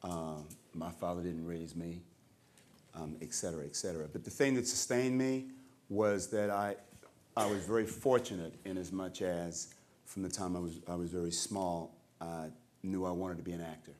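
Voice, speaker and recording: A man giving reflective personal testimony at a roundtable, halting and deliberate, restating his phrases. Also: low voice, darkish tone. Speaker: roundtable participant. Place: indoor roundtable discussion at a museum.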